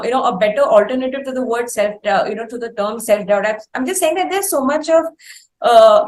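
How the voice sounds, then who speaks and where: A woman with an Indian accent hosts an interview conversationally, halting as she searches for words and repeats phrases. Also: high-pitched. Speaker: interview host. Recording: roomy echo.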